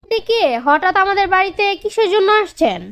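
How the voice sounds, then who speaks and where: Woman playing a feisty, very high-voiced expectant mother. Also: fairly quick, fairly bright tone. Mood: indignant. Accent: Bangladeshi. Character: feisty, indignant expectant young village mother. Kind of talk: cartoon dialogue.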